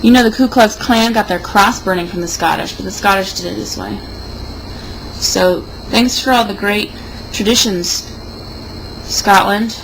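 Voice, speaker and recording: A woman's voice: medium pitch, unhurried, gravelly, rough recording. A young woman in a casual, rambling monologue, sounding tipsy and sardonic. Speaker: vlogger. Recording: noticeable background noise.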